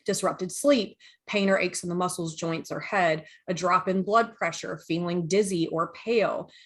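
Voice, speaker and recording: A woman's voice: fairly low pitch, moderate pace. Female podcast host from the US, friendly and enthusiastic, casual delivery. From United States. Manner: chatty, enthusiastic, lively asides. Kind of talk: casual podcast chat, reading notes.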